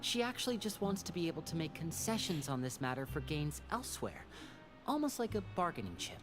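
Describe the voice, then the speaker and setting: A suave young male stage magician from a video game, pitched low. He talks smoothly and theatrically, playful yet secretive, over clear background music. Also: very animated, fairly quick. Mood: confiding. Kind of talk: video game character acting.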